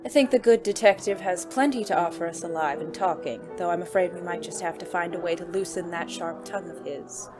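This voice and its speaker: A silky, commanding male mob heir voiced by a woman in a noir audio drama. The voice is lowish and taunting, with clear music playing behind it. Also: fairly quick, few pauses, close to an everyday voice. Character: smug, commanding mob heir, the boss's favorite nephew.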